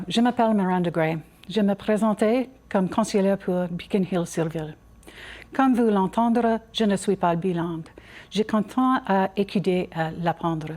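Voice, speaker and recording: A woman with an English Canadian accent reading a scripted campaign speech as a council candidate, halting and stumbling a little in a language that is not her first. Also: medium pitch, dark tone. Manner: halting, non-native reading with small stumbles.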